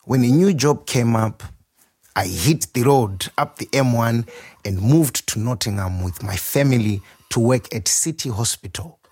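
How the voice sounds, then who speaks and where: Chef storyteller, slow delivery, average male pitch, expressive, wry, proud, audio drama. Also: husky. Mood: reflective. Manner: expressive, reflective, wryly humorous. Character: warm, proud, playful Zimbabwean nurse turned chef. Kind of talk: dramatic first-person monologue.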